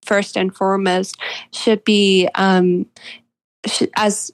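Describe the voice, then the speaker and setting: A woman speaking as an author in a casual interview, halting often as she considers each point. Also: medium pitch, level tone, no room sound.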